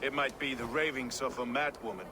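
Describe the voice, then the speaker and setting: A crude, weary medieval townsman, played by a man in a game drama. His expressive voice sits at a middle pitch, with clear ambient noise behind it. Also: a little fast, natural voice. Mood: resigned. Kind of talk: dramatic video game acting.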